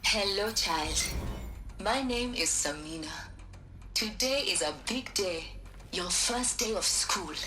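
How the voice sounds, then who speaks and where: An actress playing an encouraging virtual schoolteacher in a video game, talking as if to a small child. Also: female voice, mid-range voice, expressive. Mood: welcoming, upbeat. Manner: slow, encouraging, addressing a child.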